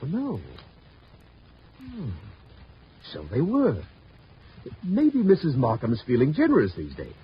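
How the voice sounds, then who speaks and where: Hesitant, animated radio insurance agent. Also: male voice, fairly high pitch, slow, pauses often, husky, full character voice. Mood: musing, puzzled. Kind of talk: 1950s radio drama acting. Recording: dull, noisy old radio recording.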